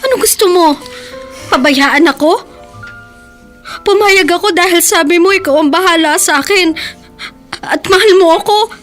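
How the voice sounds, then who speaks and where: A teenage girl in a radio drama. Her voice sits extremely high, insistent and pleading, the sound of a clingy young sweetheart. Music plays under her. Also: moderate pace, pauses often, a bit bright. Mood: tearful pleading.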